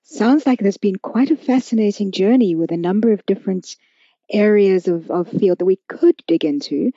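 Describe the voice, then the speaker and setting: A woman's voice: medium pitch, normal speed, mellow, breathy edge, no room sound, highs cut off. A woman hosting a podcast, asking questions in a conversational interview style. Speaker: podcast host.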